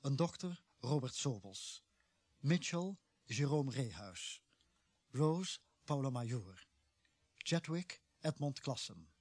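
A man reading scripted closing credits as a formal, deliberate announcer. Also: mid-range voice, animated, a little mellow, dry recording.

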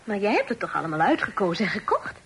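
An actress playing a teasing, playful young sister in a period radio play, speaking in formal, old-fashioned phrases. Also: female voice, medium pitch, very animated, quick pace, a bit bright. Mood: teasing, affectionate. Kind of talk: Dutch radio play acting. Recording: noisy old radio drama recording.

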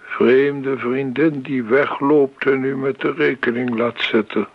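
Radio play role: courteous, nosy waiter, extremely slow delivery, dull sound. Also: male voice, medium pitch, fairly even intonation. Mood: deferential. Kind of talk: radio drama acting. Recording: dull, noisy old radio recording.

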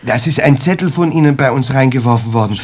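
An actor playing a polite, timid, easily flustered lodger in a children's radio play, hesitant and apologetic. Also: male voice, medium pitch, fast talker, a little mellow, slight background noise, close to an everyday voice.

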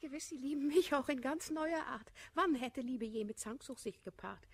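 A woman's voice: high-pitched, normal speed, slightly rough. An actress playing a self-assured, coquettish society lady, poised and imperious, speaking rhymed verse in a classical radio comedy. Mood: mocking.

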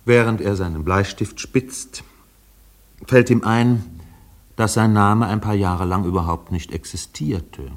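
An actor narrating a radio play as a thoughtful, wry storyteller. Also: male voice, on the low side, measured pace, close to an everyday voice. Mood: wry, reflective.

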